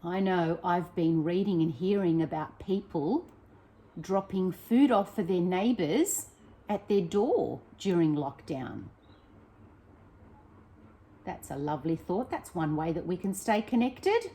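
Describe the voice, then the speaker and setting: A woman, a primary teacher reading a picture book aloud to young children, expressive and encouraging, with chatty questions to her listeners. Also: on the low side, takes it slowly, husky, low-quality recording.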